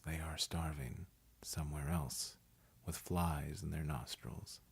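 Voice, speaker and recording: Deep husky male narrator. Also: a little mellow. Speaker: voice-over artist. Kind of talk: scripted narration of essay and poetry.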